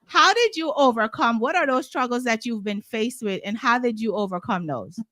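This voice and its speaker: Bright-voiced woman hosting an interview. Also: fairly high pitch, animated, normal speed, dry recording, studio quality. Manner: encouraging, repeats "I like it". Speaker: interview host. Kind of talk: casual interview chat. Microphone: video call.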